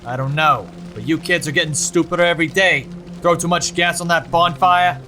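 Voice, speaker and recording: A man's voice: on the high side, fairly even intonation, a little fast, pushed and bright, soft background music. A man playing a hostile, jumpy cop in an audio drama, barking threats and commands. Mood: scornful. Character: hostile, jumpy, foul-mouthed American small-town male cop.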